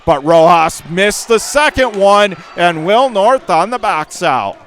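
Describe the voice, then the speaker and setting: Male play-by-play commentator, fairly high voice, clipped phrasing that lifts with the action on court. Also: slow. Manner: clipped present-tense phrases, rising to excited calls on action. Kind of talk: live basketball play-by-play commentary.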